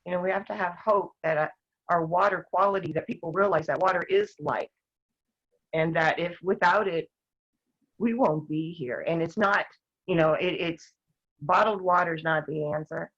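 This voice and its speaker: An older woman talking earnestly as a guest, rambling with restarts, her voice going hoarse. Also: fairly low pitch, normal speed, fairly bright tone. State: losing her voice, clearing her throat. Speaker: guest activist. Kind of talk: casual talk-show discussion. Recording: dull lo-fi sound.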